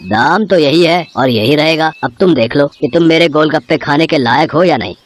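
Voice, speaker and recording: A man's voice: a little high, moderate pace, lightly acted. A man playing a proud, rude, greedy street-food seller in a cartoon moral story, boastful and dismissive. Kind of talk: acted Hindi moral-story cartoon dialogue.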